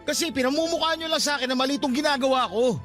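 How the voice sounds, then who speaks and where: Struggling son, radio drama: very high pitch, quick delivery, defensive and emotional, music behind. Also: male voice, a bit bright. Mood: bitter. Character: defensive, burdened grown son begging on the streets. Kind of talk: Tagalog radio drama acting.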